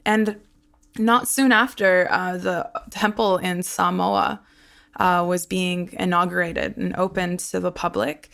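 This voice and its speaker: A woman's voice: fairly low pitch, fairly even intonation, slow, creaky, a bit bright. A woman, a podcast host chatting about her family over a video call, laughing often. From Australia. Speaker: podcast host being interviewed. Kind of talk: personal storytelling in conversation.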